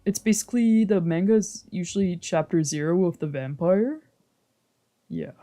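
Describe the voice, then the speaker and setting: A woman streamer playing a visual novel, mixing casual, slangy, expressive commentary with reading the game text aloud. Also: on the low side, moderate pace. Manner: expressive, reactive, exclamatory, slangy. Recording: music playing behind the voice.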